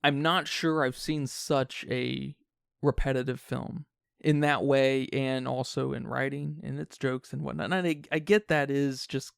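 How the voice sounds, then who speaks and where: A man's voice: mid-range voice, steady tone, measured pace, creaky, clear, resonant tone, dry recording, studio quality. A man co-hosting a podcast, talking casually and analytically about film in wordy phrasing. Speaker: podcast co-host.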